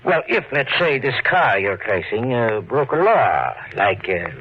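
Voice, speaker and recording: Helpful, hesitant small-town clerk, very animated intonation, vintage radio drama with dull sound. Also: male voice, medium pitch, slightly rough. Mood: hesitant, obliging. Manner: hesitant, helpful, drawn-out explaining with hedges. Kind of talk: 1940s radio drama acting. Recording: muffled, noisy 1940s radio recording.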